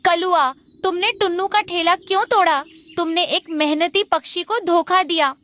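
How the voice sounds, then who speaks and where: A woman's voice: very high voice, fairly even intonation, moderate pace, fairly bright tone. A woman voicing an earnest, outspoken forest bird in a children's cartoon. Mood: reproachful. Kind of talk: Hindi kids' cartoon dialogue.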